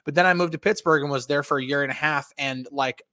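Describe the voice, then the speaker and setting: A man's voice: on the high side, fairly even intonation, fast, pushed and bright, no echo, studio quality. A man from northern New Jersey co-hosting a sports podcast, talking casually and rambling with many fillers.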